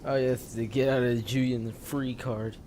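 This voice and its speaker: A woman giving casual, halting gameplay commentary as a streamer. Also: very deep voice, fairly even intonation, slight background noise. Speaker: game streamer. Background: loud game music.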